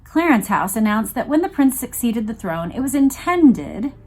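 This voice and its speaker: A woman's voice: a little high, very animated, moderate pace, a little mellow, soft background music. Conversational woman reading along and commenting. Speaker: host of a read-along video series. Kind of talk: reading a book aloud with casual commentary.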